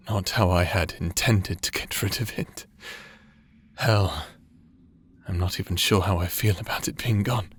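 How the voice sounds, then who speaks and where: Slow, emotional male necromancer, acted by a man. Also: sits low, husky, exaggerated voice. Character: earnest, emotional male necromancer in a dark fantasy romance. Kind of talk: dramatic audio roleplay acting.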